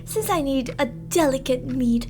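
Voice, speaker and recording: A woman playing an unhinged, gloating cannibal killer in a scripted ASMR roleplay, with a mock-sweet, theatrical, taunting and drawn-out delivery. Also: high-pitched, music in the background. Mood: menacing, scornful.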